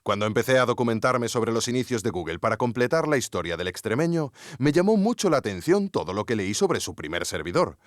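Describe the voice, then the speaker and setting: Deep-voiced, animated Spanish investigator. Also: male voice, dry recording, clean studio sound. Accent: Spanish from Spain. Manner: ironic, conversational asides to the listener. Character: wry, curious male investigator narrating his obsessive search. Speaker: podcast host and narrator. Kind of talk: scripted investigative podcast narration. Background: music.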